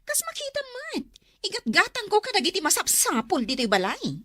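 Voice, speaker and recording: A woman's voice: very high voice, wildly swooping pitch, moderate pace, a bit bright, dry recording, studio quality, heavily acted. A woman playing a discontented, defiant wife in an Ilocano radio drama, arguing dramatically. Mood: angry, defiant. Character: discontented, sharp-tongued young wife who craves comfort.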